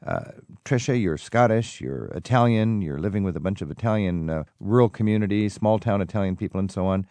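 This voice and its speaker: Radio host, male, low voice, curious and friendly in his questions. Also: fairly quick, warm tone, dry recording. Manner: inquisitive, leading questions, friendly. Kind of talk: radio interview.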